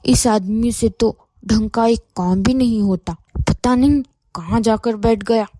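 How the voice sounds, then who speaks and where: Woman playing a greedy cartoon wife, mellow voice. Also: a little high, measured pace, a little hiss or hum, natural voice. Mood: demanding, annoyed. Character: greedy, demanding neighbour wife, later remorseful. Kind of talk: Hindi cartoon moral story dialogue.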